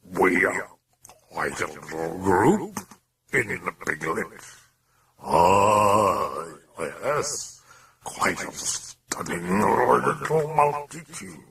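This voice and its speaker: A man voicing a sly, taunting, grandiose demon in a croaking, breathy rasp, drawn out with mock gentility for an audiobook. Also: deep voice, sing-song, bright, forceful tone, distant mic, a little hiss or hum, exaggerated voice. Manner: drawn-out, mock-genteel, theatrical delivery. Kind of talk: dramatic audiobook character voice.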